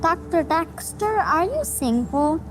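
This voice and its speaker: High childlike voice, precocious little boy, cheeky and innocent, audio drama acting, background noise. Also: very animated, slow, heavily acted. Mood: curious, cheeky. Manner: earnest, inquisitive, cheeky.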